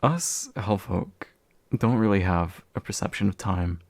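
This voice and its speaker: Young man playing a low-voiced, courteous demon dealmaker. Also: animated, a little slow, no echo, full character voice. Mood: tender, warm. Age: young adult. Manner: formal, soothing, hesitant at times. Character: courteous male demon of envy, gentle dealmaker. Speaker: voice actor. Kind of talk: soft-spoken ASMR roleplay acting.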